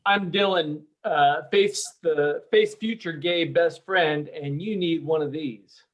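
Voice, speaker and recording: A man's voice: on the high side, slow. Eager young guy acted by a man, muffled sound. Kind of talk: screenplay table read. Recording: dull lo-fi sound.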